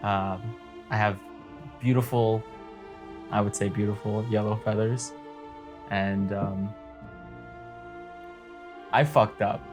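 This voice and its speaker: Very slow, halting man over music. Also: on the low side, little pitch movement. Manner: hesitant, halting delivery. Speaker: tabletop game player. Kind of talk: improvised character description.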